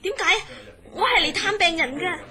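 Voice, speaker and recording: Woman acting a very high-pitched, anxious young lady. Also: animated, moderate pace, a crowd in the background. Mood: insistent. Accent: Hong Kong Cantonese. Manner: insistent, dramatic. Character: anxious young lady visitor worried for her friend. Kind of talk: Cantonese horror radio drama acting.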